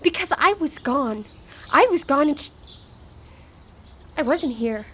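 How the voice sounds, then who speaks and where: A woman's voice: high voice, lively intonation, takes it slowly. A woman acting a grieving, short-tempered widow in a live radio drama, speaking with emotional urgency. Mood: hurt, exasperated. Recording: live radio broadcast with background noise.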